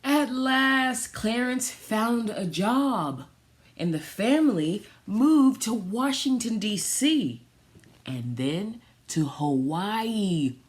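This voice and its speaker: A woman reading a picture book aloud for children, pausing and adding little asides about the pictures. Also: fairly high pitch, lively intonation. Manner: pausing, pointing out pictures to young listeners. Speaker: storytime reader for a children's theatre.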